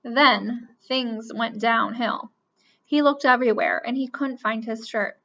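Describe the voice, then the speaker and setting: A woman reading a children's picture book aloud with expressive storytelling. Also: on the high side, measured pace, creaky. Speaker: reader. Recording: dull lo-fi recording.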